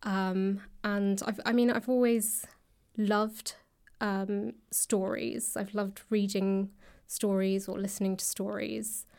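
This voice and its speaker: A woman speaks casually as a guest in an interview. Her voice stays at a medium pitch with little rise or fall. She pauses and rephrases as she explains. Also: flat delivery, creaky, a little mellow, clear, resonant tone. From south London, England. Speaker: podcast guest, writer and actor.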